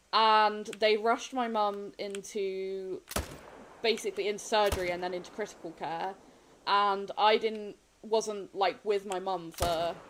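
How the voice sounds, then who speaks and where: A woman streaming a game, sniffly, chatting candidly and rambling with frequent soft laughs. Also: mid-range voice, a little hiss or hum. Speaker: gaming streamer. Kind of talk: casual chat while gaming.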